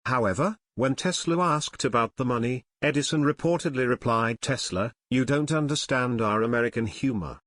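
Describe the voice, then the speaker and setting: Man, scripted read-aloud, medium pitch, measured, neutral, close dry sound. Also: fairly bright tone, dry recording. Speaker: narrator reading practice passages.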